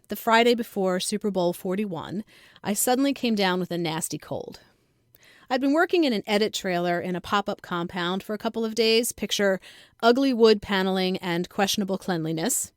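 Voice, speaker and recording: A woman reading her own first-person comic story in a conversational, wry, expressive and self-mocking way. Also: medium pitch, dry recording, studio quality. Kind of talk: scripted first-person comedic narration.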